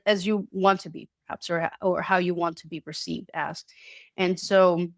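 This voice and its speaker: A woman giving a casual online talk with slides, slightly nasal from a cold, with halting speech and frequent restarts. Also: lowish voice, no echo, clean studio sound. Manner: halting, many restarts and repeated words. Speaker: presenter.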